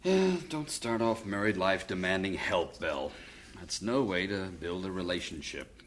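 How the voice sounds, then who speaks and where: A man's voice: deep voice, lively intonation, unhurried, a little hiss or hum. A fond philosophical father. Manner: gentle, wry, philosophical. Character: fond widowed father, stock investor. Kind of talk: vintage radio drama acting.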